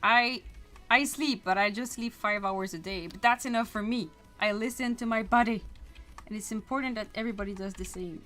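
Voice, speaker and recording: A woman artist chatting casually on a stream, bubbly and often laughing, trailing off quietly at times. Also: mid-range voice, fairly quick, soft background music. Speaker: artist and YouTuber, co-host. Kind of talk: casual chat on a livestream.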